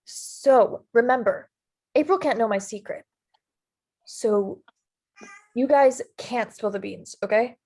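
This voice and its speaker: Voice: medium pitch, slow pace, pauses often, darkish tone, husky, voice pushed up. A performer putting on a chirpy teenage girl voice who is hiding a secret. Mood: conspiratorial.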